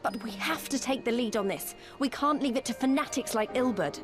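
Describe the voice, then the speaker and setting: A woman's voice: on the high side, brisk, music in the background, natural voice. An actress playing an earnest, determined young woman fighter in a video game, speaking in a heartfelt way. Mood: resolute. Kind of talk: video game cutscene acting.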